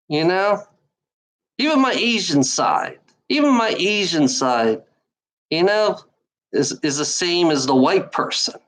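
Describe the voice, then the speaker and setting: A woman's voice: lowish voice, fairly bright tone. Woman ranting, cursing and repeating herself. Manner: angry, profane, repeats phrases, halting restarts. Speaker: online commenter. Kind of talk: ranting monologue to camera.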